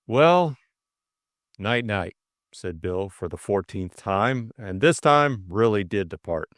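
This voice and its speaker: A man's voice: sits low, expressive, measured pace, a bit bright, no echo, studio quality. A man narrating a novel as a scripted audiobook, reading expressively.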